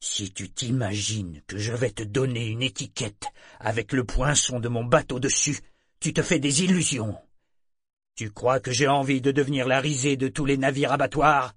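A man's voice: medium pitch, steady tone, normal speed, breathy edge, dry recording, studio quality, lightly acted. A man voicing a furious, contemptuous ship's captain in an audiobook, harsh, accusatory and snarling. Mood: scornful rage. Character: hard, furious, contemptuous male ship captain. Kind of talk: audiobook character acting.

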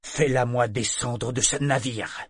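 A man's voice: medium pitch, steady tone, measured pace, husky, no room sound, studio quality. A man voicing a furious, contemptuous ship's captain in an audiobook, harsh, accusatory and snarling. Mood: curt anger. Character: hard, furious, contemptuous male ship captain. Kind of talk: audiobook character acting.

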